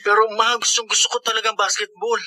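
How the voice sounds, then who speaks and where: A man's voice: sits high, flat delivery, fast talker, sharp tone, slight background noise. A young man playing an eager, sheepish teenage son with a Filipino accent in a radio drama. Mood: sheepish, pleading.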